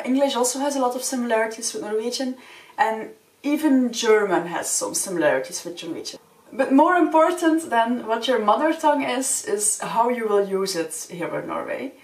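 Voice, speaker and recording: A young woman, Dutch by mother tongue, speaks English in a friendly, earnest vlog voice, a little higher than most. She sits a few feet from the camera, so her voice comes across distant and roomy in a small wood-panelled room. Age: young adult. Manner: friendly, earnest, gestures with open hands. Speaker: vlogger. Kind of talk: casual advice talk to camera. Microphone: camera a few feet away. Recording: roomy sound from a distant mic.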